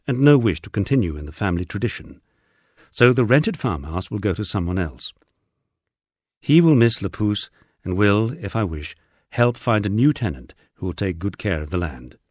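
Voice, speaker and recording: A middle-aged British man reading a scripted first-person memoir as an audiobook, in a measured storytelling way with dry understatement. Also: on the low side, darkish tone, dry recording. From United Kingdom. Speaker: audiobook narrator.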